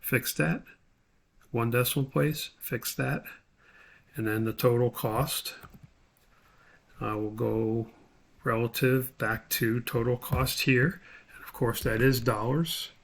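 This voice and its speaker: A man's voice: medium pitch, steady tone, slow pace, vocal fry, pauses often, a bit bright. A man giving an informal screen tutorial as an instructor, thinking aloud step by step as he demonstrates.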